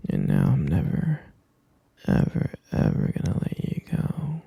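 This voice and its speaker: A man's voice: extremely low pitch, wildly swooping pitch, measured pace, vocal fry, slightly rough, no room sound, full character voice. A man playing a whispering possessive yandere captor. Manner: soft, clingy, soothing whisper with creepy insistence. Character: obsessive yandere male kidnapper, sweetly possessive. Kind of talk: ASMR roleplay.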